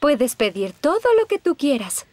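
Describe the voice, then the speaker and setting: A woman with a Latin American Spanish accent voicing a kindly, dreamy New Age mother in a children's cartoon, earnest and expressive. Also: high-pitched, unhurried.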